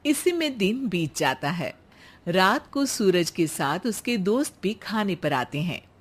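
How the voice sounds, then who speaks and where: A woman narrating a bedtime cartoon story in a scripted, expressive way. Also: mid-range voice, unhurried, husky.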